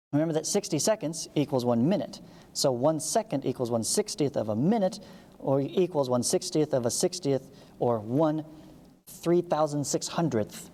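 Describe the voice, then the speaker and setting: Woman teacher w a low mellow voice reading thru a lesson step by step, kinda echoey. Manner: instructional, step-by-step explaining. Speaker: math teacher, video host. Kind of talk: read-along lesson, reading textbook aloud with asides.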